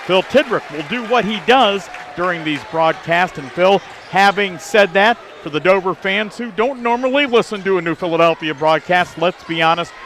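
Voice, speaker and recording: A man's voice: high-pitched, fairly bright tone. A man hosting a sports pregame broadcast. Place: busy high school gym. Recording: noisy gym with bouncing basketballs.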